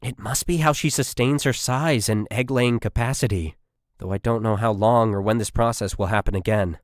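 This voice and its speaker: An earnest woman scientist attached to an army unit, acted out by a male narrator, pitched low. She has a faithful streak and an excitable edge, in a dry, close audiobook recording. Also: normal speed, no room sound, lightly acted. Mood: excited awe.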